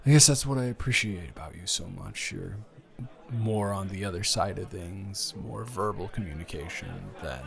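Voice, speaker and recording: Guy acting as awkward smitten young dude, lowish voice, talks real slow, stammery and self-deprecating, audio roleplay. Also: vocal fry. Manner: hesitant, wordy, self-deprecating joking with stammers. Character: joking, awkward, smitten young man, jealous casual lover. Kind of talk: improvised intimate audio roleplay.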